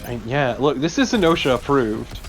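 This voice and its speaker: A man's voice: medium pitch, expressive, moderate pace, a little hiss or hum. A man co-commentating on a video game in casual, wry banter. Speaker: let's play co-commentator. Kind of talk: casual banter over gameplay.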